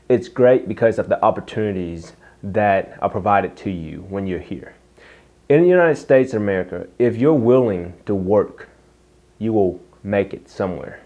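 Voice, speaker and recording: A man's voice: lowish voice, darkish tone. A young man from Vietnam with a mixed Vietnamese, Canadian and Southern American accent, earnestly telling his own story as a vlogger. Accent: Vietnamese with Canadian and Southern US English. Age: young adult. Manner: earnest, reflective, with emphatic slow stresses. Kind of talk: personal storytelling to camera.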